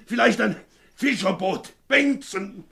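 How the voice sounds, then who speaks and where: Desperate ship's captain, radio play. High, gravelly, wildly swinging voice; agitated orders, slightly noisy vintage recording. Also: male voice, lively intonation, slow, a bit bright, exaggerated voice. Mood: desperate, commanding. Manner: agitated, barking orders, stammering repetitions. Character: desperate, domineering ship's captain searching for his son. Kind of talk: 1950s German radio drama acting.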